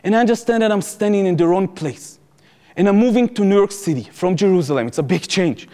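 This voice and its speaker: A young man with an Israeli accent giving a public lecture as personal storytelling, emphatic, repeating phrases and pausing for drama. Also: high-pitched, dark tone. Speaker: activist lecturer and former soldier. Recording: echoey hall with long reverb.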